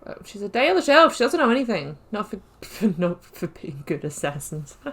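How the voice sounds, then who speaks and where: A woman's voice: mid-range voice, sing-song, measured pace, a bit bright, slight background noise. A woman giving chatty let's-play commentary, thinking aloud with many fillers. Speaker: gaming YouTuber.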